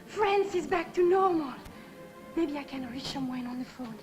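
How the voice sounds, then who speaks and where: Eager, hopeful woman played by an actress, high voice, vintage disaster film, music underneath. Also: moderate pace, lightly acted. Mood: excited, hopeful. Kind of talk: 1960s film drama acting. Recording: old film soundtrack with music.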